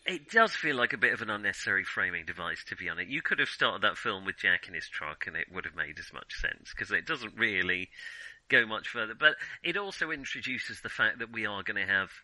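A middle-aged man in a casual unscripted film discussion as a podcast co-host, often halting and correcting himself mid-thought. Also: on the low side, expressive, bright, forceful tone, dry recording, poor audio. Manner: halting, self-correcting, trailing restarts.

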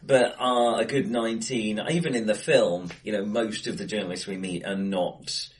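A man co-hosting a casual film discussion, hesitant and self-correcting as he thinks aloud, recorded in an acoustically bright room. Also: on the low side, steady tone, normal speed. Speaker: film podcast co-host. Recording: echoey bright room, face to face.

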